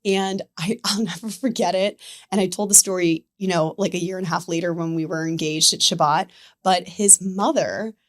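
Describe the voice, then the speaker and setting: Chatty animated woman storyteller. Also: lowish voice, moderate pace. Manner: animated, chatty, filler "like" throughout. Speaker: podcast guest. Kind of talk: casual storytelling conversation.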